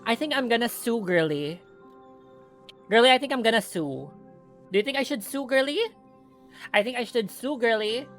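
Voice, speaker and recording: A woman's voice: on the high side, expressive, moderate pace, long pauses, faint music behind. A young Filipino woman streaming a game, chatting casually and sassily with her viewers and mixing in slang. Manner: chatty, sassy, peppers talk with "girly" and code-switching. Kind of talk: casual live-stream chat.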